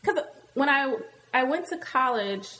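A woman's voice: sits high, lively intonation, a little slow, sharp tone, slight background noise. A woman from Nashville with a blended American Southern accent, chatting casually and frankly, often acting out dialogue. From Nashville, Tennessee, US. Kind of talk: casual candid chat.

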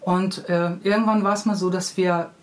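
A woman's voice: on the low side, fairly even intonation, moderate pace. A middle-aged woman, telling anecdotes reflectively as an author being interviewed. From Hamburg, Germany. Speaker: guest, children's book author. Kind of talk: conversational interview storytelling.

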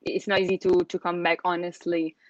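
A young woman with an Italian accent, chatting as an interview guest, full of "like" and restarts and quick laughs. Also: on the low side, little pitch movement, no echo, muffled recording. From Italy. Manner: chatty, many fillers and restarts, laughs often. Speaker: guest, email account manager. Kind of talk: casual interview chat.

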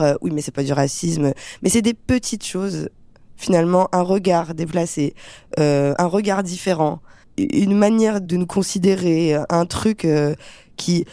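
A young actress from France, talking earnestly in an interview with many fillers and restarts. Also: female voice, lowish voice, normal speed, dark tone, no echo. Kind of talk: casual interview talk.

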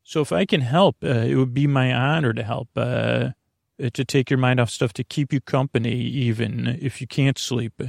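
A man hosting a bedtime podcast in a creaky, lulling voice, meandering and repeating himself with halting pauses. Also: medium pitch, fairly even intonation, dry recording, clean studio sound. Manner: soothing, lulling. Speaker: podcast host. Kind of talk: rambling bedtime podcast monologue.

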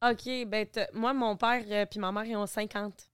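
A woman's voice: mid-range voice, fairly even intonation, normal speed, fairly bright tone, clean tone, dry recording, clean studio sound. A woman in her late twenties from the Beauce region with a Québécois French accent, a guest asking curious questions in a casual podcast chat. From Beauce, Quebec. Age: 20s. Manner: curious, asks many questions. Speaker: guest content creator.

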